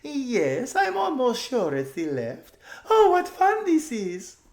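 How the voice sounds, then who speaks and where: A man doing a silly, high-pitched gushing young noblewoman. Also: wildly swooping pitch, slow, reverberant, exaggerated voice. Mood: giggly, unsure. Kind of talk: children's storybook character voice.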